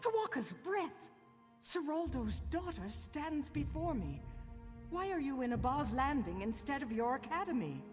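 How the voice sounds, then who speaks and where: A woman's voice: sits high, sing-song, a little slow. An actress voicing a dramatic, sympathetic female character in a video game. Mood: startled. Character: startled, sympathetic female acquaintance of a missing man. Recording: long hall reverb, music behind.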